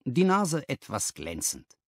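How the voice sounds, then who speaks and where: A man reads a first-person story for an audiobook. His storytelling is expressive, with very varied intonation. The recording is dry and close. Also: mid-range voice, takes it slowly, slightly rough, no room sound. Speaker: audiobook narrator.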